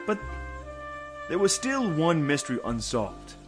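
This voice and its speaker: An actor playing a world-weary, wisecracking private detective in a hardboiled noir audio drama, dry and sardonic. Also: male voice, a little high, sing-song, measured pace, over music. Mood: wistful.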